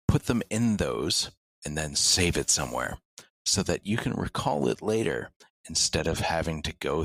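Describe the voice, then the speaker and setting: A man's voice: very deep voice, fairly bright tone, dry recording. A man who does sound design, giving casual advice in an interview.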